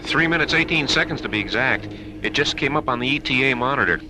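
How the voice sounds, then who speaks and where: An actor playing an easygoing, joking space shuttle co-pilot in a science fiction radio drama, with a casual delivery. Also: male voice, mid-range voice, lively intonation, fast talker, natural voice, poor audio. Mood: bantering, relaxed. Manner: casual, bantering.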